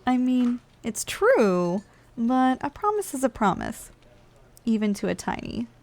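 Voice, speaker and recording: A woman's voice: fairly high pitch, sing-song, measured pace, a little mellow. A woman voicing a playful, lazy giantess office worker in an intimate ASMR roleplay, coaxing and casually condescending as she speaks straight to the listener. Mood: playfully teasing.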